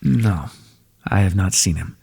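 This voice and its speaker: A man voicing an ancient god of the underworld in an ASMR roleplay, speaking in a soft, breathy, measured way and reassuring the listener tenderly. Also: low voice, animated, long reverb tail, heavily acted. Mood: gentle, soothing.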